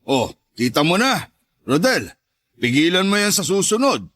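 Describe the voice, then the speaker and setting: A man's voice: sits high, very animated, normal speed, a bit bright. A man playing a mocking, domineering macho ex-husband in a radio drama, brash and taunting. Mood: mocking, needling. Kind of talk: Tagalog radio drama acting.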